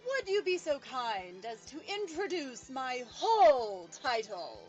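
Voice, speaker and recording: A woman playing a haughty, commanding cyborg clown queen in a comic sci-fi radio play, with theatrical, drawn-out delivery. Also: sits high, very animated, a little mellow, muffled recording, soft background music, exaggerated voice.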